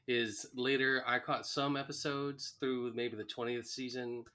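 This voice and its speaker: A man with a bright, sharp-edged voice at an average pitch. He talks casually as the co-host of a discussion podcast. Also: level tone, measured pace.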